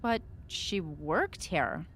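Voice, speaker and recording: Casting: actress as inquisitive female sidekick, casual, highly animated mid-range voice, audio drama, light background noise. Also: drawn-out words, full character voice. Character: female detective's companion, expressive and inquisitive.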